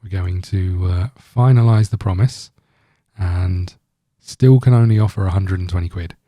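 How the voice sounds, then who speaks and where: A man with a very dark, relaxed voice on the low side talks through a video game. He rambles casually, thinking aloud as he hosts. The sound is dry and close. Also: moderate pace, no room sound. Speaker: gaming video host. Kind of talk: casual let's-play commentary.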